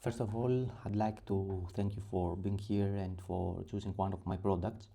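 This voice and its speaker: Greek-accented man with a dark voice. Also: deep voice, fairly even intonation, vocal fry. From Greece. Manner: halting, with many pauses and fillers. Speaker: template creator. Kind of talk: screen-recorded software tutorial.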